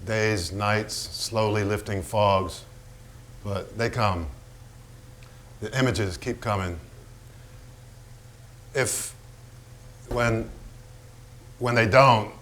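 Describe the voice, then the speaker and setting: A man's voice: fairly low pitch, steady tone, drawn-out words, pauses often, fairly bright tone, a little hiss or hum. A man playing a volatile, foul-mouthed, hard-drinking painter in a theatrical staged reading, blunt and brooding. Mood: brooding, confessional. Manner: blunt, profane, brooding, given to repetition.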